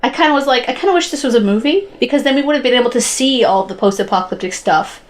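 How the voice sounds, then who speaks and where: A woman's voice: on the high side, racing. A woman co-hosting a podcast, chatting informally about her impressions, often rephrasing herself and peppering in "like". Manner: informal, frequent "like" and self-corrections. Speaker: podcast co-host reviewing post-apocalyptic media. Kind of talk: casual review chat.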